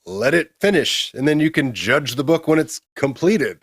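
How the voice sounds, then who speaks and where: A man's voice: on the high side, animated, moderate pace, a bit bright, breathy edge, no room sound, clean studio sound. A man co-hosting a casual news show, explaining things informally and giving his opinions. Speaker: co-host of a gaming news show.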